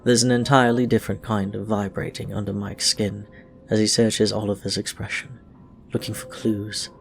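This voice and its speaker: Low-voiced man reading a story over music. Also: level tone, moderate pace, gravelly. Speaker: audio fiction reader. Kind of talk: scripted story narration with acted dialogue.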